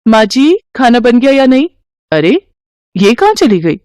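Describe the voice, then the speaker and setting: A woman acts out a scheming young daughter-in-law for a cartoon story. She is sharp and dramatic, always ready to accuse and complain. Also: fairly high pitch, big pitch swings, measured pace, no room sound, studio quality, pitched above the performer's own voice. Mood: bossy.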